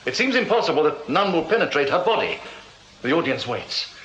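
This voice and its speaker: A showy, proud Victorian magician, with a highish and very animated voice. He is florid and wry, in radio drama style. The sound is roomy and slightly muffled. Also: brisk. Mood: showy, explaining. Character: theatrical, proud Victorian stage magician held in prison. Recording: dull, roomy, noisy radio drama copy.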